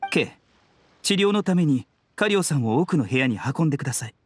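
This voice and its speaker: An actor playing a calm, courteous physician in an anime game, speaking politely and reassuringly over music. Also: male voice, on the high side, a little fast, a little hiss or hum, lightly acted. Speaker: physician character. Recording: music behind the voice.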